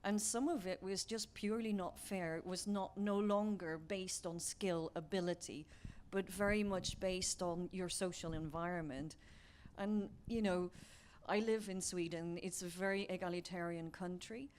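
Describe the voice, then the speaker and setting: A woman from Belgium moderating a conference panel, explaining research in a steady way and affirming the other speakers. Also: fairly low pitch, moderate pace, slight background noise.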